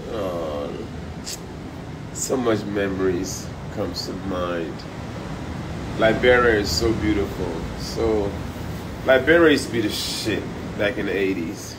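Brash male comedian on a phone live stream, low-ish voice, trash-talking, audible room hum. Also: takes it slowly. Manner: loud, profane, animated trash talk. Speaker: comedian livestreaming. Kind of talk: casual live stream chat. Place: high-rise hotel room by the beach. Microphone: phone held at arm's length.

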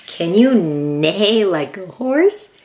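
A woman in her thirties reading a picture book aloud to young children in an excited, encouraging, exaggerated way. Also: medium pitch, extremely slow. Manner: exaggerated, sing-song animal noises and questions. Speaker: children's read-aloud host. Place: indoor room with plain wall.

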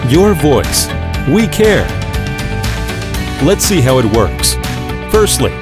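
A man's voice: fairly high pitch, sing-song, slow pace. A man giving a scripted, step-by-step instructional narration for a product demonstration. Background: background music.

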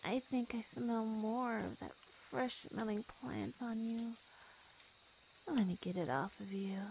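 A woman playing a languid, possessive feline monster girl in an ASMR roleplay, predatory yet affectionate, with drawn-out murmurs. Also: a little high, background noise. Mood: possessive, teasing. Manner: slow, drawn-out, purring murmurs and sighs.

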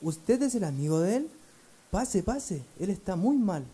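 A woman's voice: medium pitch, sing-song, measured pace, warm tone, husky. A woman playing a hospitable, worried householder in a radio drama. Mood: worried, welcoming.

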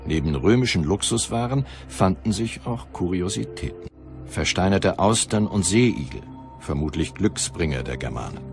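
A male documentary narrator with a very low voice. He reads scripted lines in a measured, deliberate way while music plays clearly behind him.

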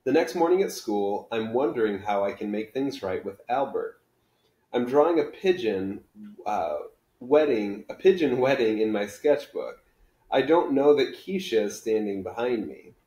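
A man's voice: lowish voice, animated, normal speed, warm tone. A man in his thirties reading a children's book aloud in a casual style, recorded in a living room. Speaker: reader, teacher. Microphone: camera a few feet away. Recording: roomy living-room echo.

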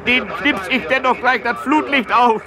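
Casting: petty provincial air force captain, high voice, folksy rambler with a grudge, loud vehicle noise behind, radio satire. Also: male voice, a little slow, a crowd in the background. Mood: gleefully vengeful. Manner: rambling, hesitant, folksy, tacking question tags onto sentences. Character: rambling, vengeful small-town fighter pilot, a petty male captain. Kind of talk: satirical radio comedy sketch.

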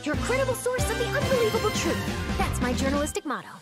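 Cheerful young game reporter, very high voice, animated, punchy, loud music underneath. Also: female voice, fast. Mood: eager. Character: perky, eager young female newspaper reporter, bold, cheerful. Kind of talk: video game character acting. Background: loud game trailer music.

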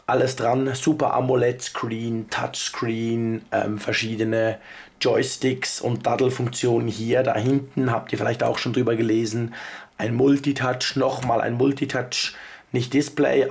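A man, hoarse from a cold, casually reviewing a handheld gadget and sounding a little sarcastic about its maker. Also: medium pitch, darkish tone. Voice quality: gravelly. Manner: chatty, offhand, mildly sarcastic about the maker. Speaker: tech video host. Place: indoors at a table, off camera.

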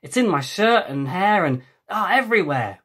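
Man playing a grumbling, husky fussy boy. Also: high-pitched, animated, moderate pace, fairly bright tone, pitched above the performer's own voice, full character voice. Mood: exasperated.

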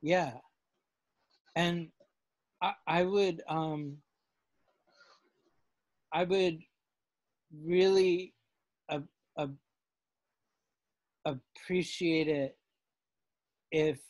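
A man leading a casual class discussion over a video call, halting and full of restarts. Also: fairly high pitch, fairly even intonation, no room sound, poor audio. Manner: halting, many fillers and restarts.

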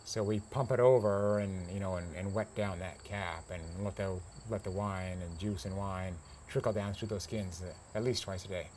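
A middle-aged American man, a farmer and winemaker, explains his work casually and haltingly in an interview. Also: low voice, steady tone, a little mellow. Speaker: grape grower and winemaker being interviewed. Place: outdoor covered porch beside a pond. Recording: faint outdoor insect noise.

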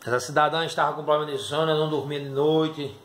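A man's voice: on the high side, flat delivery, fast, a bit bright. A middle-aged man talking informally to camera, presenting and selling a product. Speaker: herbal tea seller presenting testimonials. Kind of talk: informal sales talk to camera. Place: small dim room indoors. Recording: roomy echo, faint background noise.

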